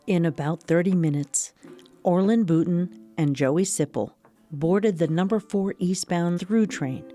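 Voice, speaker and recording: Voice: medium pitch, unhurried, warm tone, soft background music. A narrator with an American accent reading scripted narration for a radio drama.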